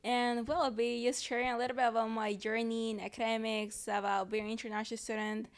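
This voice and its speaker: A young woman from El Salvador, a native Spanish speaker, chats as a guest on a podcast. Her voice sits a little high. She hesitates often, filling gaps with "like" and "um" and restarting sentences as she goes. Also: little pitch movement, fast. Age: 20s. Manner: hesitant, many fillers and restarts. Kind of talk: casual interview chat.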